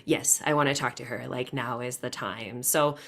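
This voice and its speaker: A woman's voice: very deep voice, fairly even intonation, moderate pace, gravelly, a bit bright. A woman hosting a podcast interview, easygoing and chatty, encouraging her guest to open up. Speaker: podcast host. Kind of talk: casual interview conversation.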